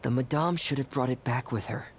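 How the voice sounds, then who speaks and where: An actor playing a composed, thoughtful young man in a video game, with a husky, breathy voice and calm delivery. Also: medium pitch, slight background noise, close to an everyday voice. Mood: focused resolve. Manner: calm, deliberate.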